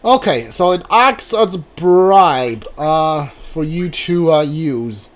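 Slow, high-pitched man streaming a game. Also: lively intonation. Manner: hesitant, halting, thinking aloud. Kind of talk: casual live gameplay commentary.

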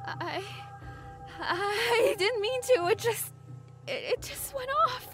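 A protective young thief in an audio drama, tense and urgent. Her high, bright, forceful voice rises and falls a great deal over music. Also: animated, takes it slowly, full character voice. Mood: shaken. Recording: music bed behind dialogue.

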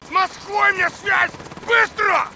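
High, straining soldier barking orders. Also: male voice, flat delivery, rapid-fire. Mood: urgent, alarmed. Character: alarmed male Russian soldier shouting orders in battle. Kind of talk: cinematic video game acting. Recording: noisy battle, gunfire and helicopter.

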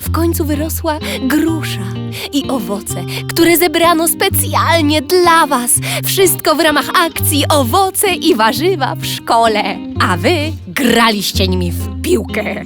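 A woman's voice: high-pitched, expressive, takes it slowly, over music. A woman playing an officious young goat on school duty in a children's radio play, with a pompous, stern, theatrical manner. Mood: wistful, reproachful.

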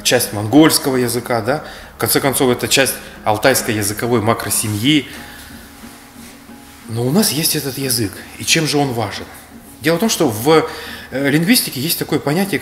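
Man in his 30s from Kalmykia, measured lecturer, roomy echo with faint music behind. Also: medium pitch, fairly bright tone. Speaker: speaker on history. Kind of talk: reflective explanatory talk. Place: bright indoor room.

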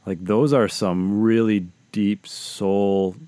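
A man's voice: fairly low pitch, fairly even intonation, slow pace, mellow, no echo. A man co-hosting a podcast discussion about faith in a conversational style. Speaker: podcast co-host.

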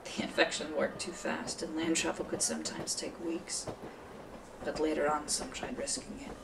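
A woman's voice: low voice, little pitch movement, normal speed, vocal fry, background noise. A woman acting the part of a cynical, worldly smuggler who recounts things matter-of-factly in an audio drama interview. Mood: grim, reflective. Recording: slightly distant, music behind.

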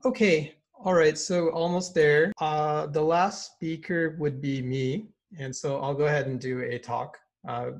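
A man's voice: mid-range voice, creaky. A man in his thirties hosting an online meetup over a webcam, upbeat and casual, with lots of "you know" and "um". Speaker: meetup organizer and host. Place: indoor room with brick wall. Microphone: webcam on video call.

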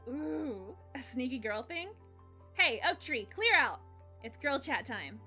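Woman as a perky, high-voiced pony herbalist. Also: slow pace, husky, full character voice. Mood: perky, teasing. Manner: animated, teasing. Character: perky, excitable young female pony herbalist, loyal best friend. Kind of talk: animated fan drama acting. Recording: music under the voice.

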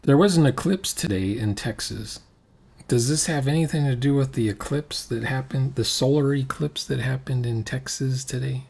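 A man's voice: mid-range voice, normal speed, gravelly, a little mellow, husky. An older man giving casual reaction commentary, speaking emphatically and repeating phrases for effect. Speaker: commentator reacting to a recorded roleplay session.

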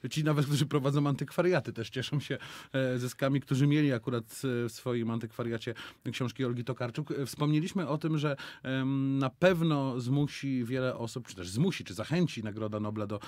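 Polite young radio interviewer. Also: male voice, mid-range voice, fairly quick, a little mellow, no echo. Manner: polite, leading questions, some fillers. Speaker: radio host. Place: radio studio. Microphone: headphones, desk mic.

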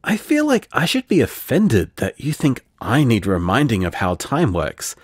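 A man's voice: mid-range voice, wildly swooping pitch, moderate pace, slightly rough, dry recording, clean studio sound. An actor voicing a shy, affectionate young man who leaves rambling, self-deprecating voicemails, tipsy in parts of the scene. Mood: fond. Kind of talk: audio drama voicemail monologue.

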